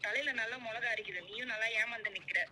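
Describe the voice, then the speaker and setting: A woman's voice: fairly high pitch, steady tone, fast, very noisy. A woman in a heated phone argument, speaking in an indignant, scolding and sarcastic manner. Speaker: wife being prank-called by her husband.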